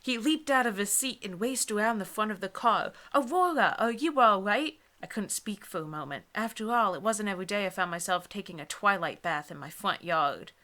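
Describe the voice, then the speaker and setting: A woman's voice: medium pitch, expressive, fairly quick, hardly any pauses, pushed and bright. A young adult woman co-hosting a dramatic book reading, mixing narration with sarcastic, exasperated casual commentary. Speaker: co-host reading bad books aloud.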